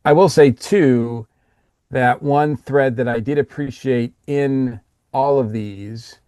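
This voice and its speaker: A man co-hosting a podcast, giving a casual review in a halting, thoughtful way. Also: mid-range voice, mellow, clean tone, no room sound, clean studio sound. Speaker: podcast co-host.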